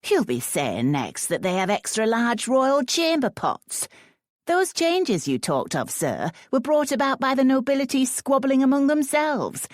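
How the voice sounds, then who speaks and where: A woman voicing a grand wealthy widow who speaks with brisk, dismissive authority. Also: high-pitched, no echo, clean studio sound, pitched above the performer's own voice, heavily acted. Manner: brisk, scornful, commanding.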